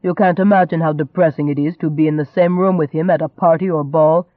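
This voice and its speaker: A man's voice: fairly high pitch, fairly even intonation, fast talker, no echo, narrow, tinny audio, natural voice. A man voicing a charming young nobleman in an audiobook, a teasing and cajoling rogue who stays unruffled. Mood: mock self-pity.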